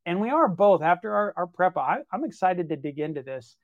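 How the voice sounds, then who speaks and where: A man hosting an interview, asking his questions haltingly with frequent restarts. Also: high-pitched, lively intonation, dry recording. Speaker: podcast host. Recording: dry, dull lo-fi sound.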